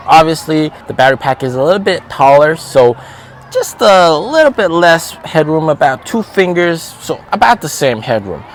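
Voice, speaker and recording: A man's voice: on the high side, a little hiss or hum. A man hosting a casual car review vlog, talking to viewers in an emphatic way and repeating words for stress. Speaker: host of an electric car stock channel.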